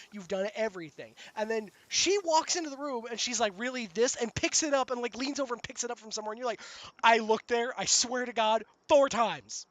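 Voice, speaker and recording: A man with a high-pitched voice, hosting a game stream in casual chat and acting out the people in his stories. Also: no echo. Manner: animated storyteller, acts out the people in his stories. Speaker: streamer host. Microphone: voice chat call.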